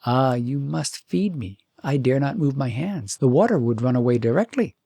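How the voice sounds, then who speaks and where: A man's voice: medium pitch, lively intonation, moderate pace, creaky, mellow, slightly rough, no room sound, studio quality. A man voicing a patient, lovesick young prince in a fairytale, humble, earnest and faint.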